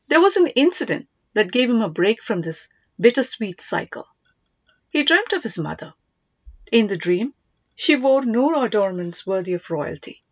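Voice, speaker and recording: A woman's voice: medium pitch, no room sound. Woman narrating a story, dry dull recording. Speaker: audiobook narrator.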